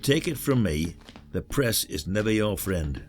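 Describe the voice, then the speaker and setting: A man's voice: lowish voice, lively intonation, a little slow, music in the background. An actor playing a weary, blunt older retired detective in an audio drama, brooding and old-fashioned in his wording. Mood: dogged, wary.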